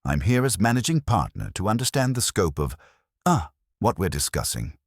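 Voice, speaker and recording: A man's voice: on the low side, very animated, normal speed, no echo, studio quality, pitched above the performer's own voice. A man narrating a mystery story, voicing an officious, uneasy businessman who sounds self-important yet hesitant.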